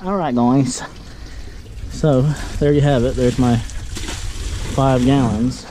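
Man, mid pitch, casual how-to talk, noisy outdoor camera audio. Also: steady tone, slow, mellow. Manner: chatty, talks while working, addresses viewers. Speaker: pressure washing business owner. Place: outdoors under a metal carport shelter. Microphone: handheld action camera, point of view. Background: rain and running water.

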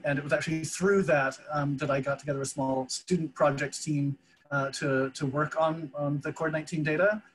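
A man from Canada introducing his work on a video call, halting and repeating words as he thinks. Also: a little high, a bit bright, husky, rough recording. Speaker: VR developer, founder.

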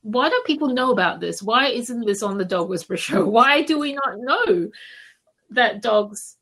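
A woman's voice: fairly high pitch, lively intonation, moderate pace, fairly bright tone, breathy edge. Woman from Malaysia telling her story. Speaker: podcast guest, illustrator. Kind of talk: casual interview storytelling.

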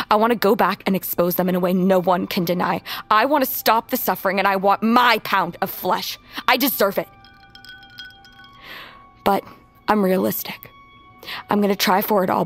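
An actress playing a determined, wounded young survivor in an audio drama, earnest and measured, with a gravelly fry. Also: female voice, mid-range voice. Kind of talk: naturalistic audio drama acting. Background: music.

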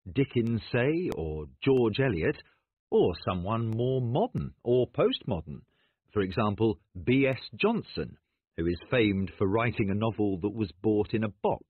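A man speaking in the measured, formal style of an academic lecture. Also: fairly low pitch, husky, no room sound. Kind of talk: academic lecture and question, clearly delivered. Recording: thin phone-like audio, dry.

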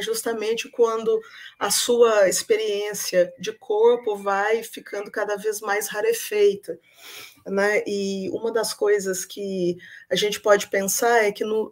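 A young woman delivers an academic talk over a webcam from a room at home. Her pitch sits in the middle of the range, and she moves thoughtfully through her points with frequent hesitations and fillers. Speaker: lecturer. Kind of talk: academic talk on song and literature. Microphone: webcam on video call.

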